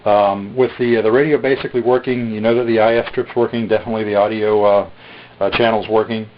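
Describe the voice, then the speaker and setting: A man demonstrating a radio he is selling in a casual, halting way, filling pauses with lots of "uh". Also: fairly low pitch, little pitch movement. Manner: halting, many "uh" fillers. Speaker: radio seller demonstrating a receiver. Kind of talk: casual product demo. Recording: dull lo-fi recording.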